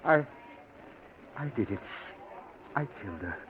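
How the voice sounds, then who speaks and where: An actor playing a guilt-racked, haunted murderer, anguished and halting, in an old radio drama. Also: male voice, fairly low pitch, expressive. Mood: broken, confessing. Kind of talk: 1940s radio drama acting. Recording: tinny, hissy old radio recording.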